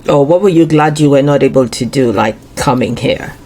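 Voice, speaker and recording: A woman's voice: very deep voice, moderate pace, warm tone, slightly rough. A woman hosting an interview, asking questions and encouraging her guest with frequent short affirmations. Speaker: podcast host.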